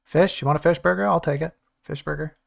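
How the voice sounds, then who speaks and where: Chatty streamer goofing around with chat, kinda gravelly voice, middle pitch. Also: steady tone, quick pace. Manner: chatty, jokey, talks to chat. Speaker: game streamer. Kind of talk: casual let's-play chat.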